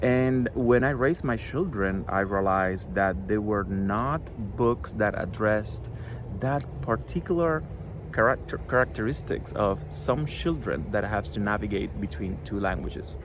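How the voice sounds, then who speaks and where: A middle-aged man from Cuba, a Spanish native speaker with a Cuban accent, talks earnestly to a school audience about his children's book, pausing often with fillers. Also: lowish voice, background noise. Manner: earnest, halting, many fillers. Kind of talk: recorded address to a school audience.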